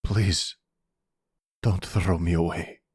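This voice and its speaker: A man's voice: sits low, steady tone, darkish tone, breathy edge, no echo, studio quality, exaggerated voice. A man playing a timid, remorseful young adopted brother who speaks hesitantly in an ASMR roleplay. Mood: timid, pleading. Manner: hesitant, halting.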